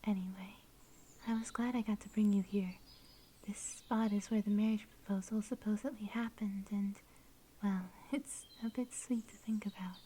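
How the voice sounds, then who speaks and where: A woman voicing a shy, sweet young werewolf girl in an ASMR roleplay, speaking in soft, breathy whispers with a gentle, tender manner. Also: mid-range voice, steady tone, normal speed, slight background noise, lightly acted. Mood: bashful, playful. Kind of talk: ASMR roleplay, intimate storytelling.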